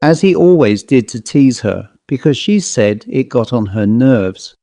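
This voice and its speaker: A man's voice: medium pitch, expressive, a little slow, dark tone, no echo, studio quality. Man voicing a poised, confiding teenage girl. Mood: solemn, confiding. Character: self-possessed fifteen-year-old girl, sly storyteller.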